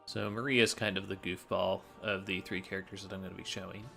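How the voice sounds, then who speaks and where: A man's voice: low voice, little pitch movement, a little fast, creaky, sharp tone. A man giving casual, explanatory commentary over his own video game run. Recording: game music faintly behind. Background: fighting game music.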